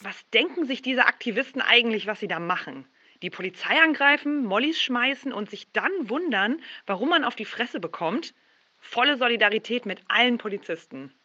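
Outraged commenter, audio drama, tense highish voice, muffled lo-fi. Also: female voice, expressive, a little fast, pushed and bright, no room sound. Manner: scornful, outraged. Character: indignant female online commenter siding with police.

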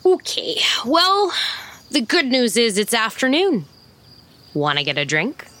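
A woman's voice: a little high, huge pitch swings, unhurried, a bit bright, exaggerated voice. A woman playing a flirty, wisecracking small-town private eye in an audio drama, with a teasing, bantering manner. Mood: surprised, breezy.

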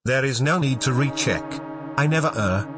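A man voicing a stiff, formal door guard who speaks in a flat, unbending way. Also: medium pitch, normal speed, noisy background, close to an everyday voice.

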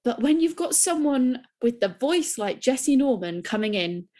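A woman's voice: high voice, big pitch swings, unhurried, warm tone. Young woman chatting on a podcast, gushy and rambly, lots of restarts. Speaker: podcast co-host. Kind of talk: casual chat.

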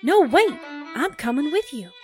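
An actress playing an inquisitive, excitable young woman in a 1940s-style radio drama, with violin music behind. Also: high voice, normal speed. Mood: eager.

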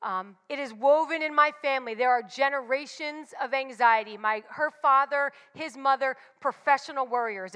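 Woman, forties, high and bright, candid church speaker, long reverb. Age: 40s. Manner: candid and confessional, emphatic repetitions. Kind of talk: personal devotional talk.

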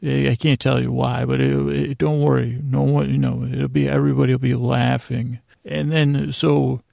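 Very creaky, meandering man, softly mellow. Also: medium pitch, level tone, no echo, clean studio sound. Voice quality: very creaky, gravelly. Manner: meandering, halting, with trailing tangents; lighthearted, absurd storytelling. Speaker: podcast host. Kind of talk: rambling bedtime monologue, meant to lull listeners to sleep.

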